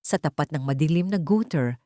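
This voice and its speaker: A woman's voice: deep voice, lively intonation, moderate pace, warm tone, no echo, clean studio sound. A woman reading a scripted dramatic story as its narrator.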